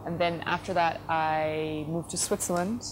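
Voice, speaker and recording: A middle-aged woman from the Netherlands, a company head giving thoughtful interview answers, often pausing with fillers and correcting herself. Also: low voice, fairly even intonation, poor audio. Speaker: company CEO, interview guest. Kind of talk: relaxed conversational interview answers. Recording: noticeable background noise.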